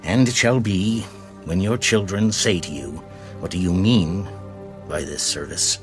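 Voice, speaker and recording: A grave, commanding Hebrew patriarch in an audio Bible drama. His voice sits very low and he delivers each instruction as a slow, weighty proclamation. Prominent music plays behind him. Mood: solemn, fervent.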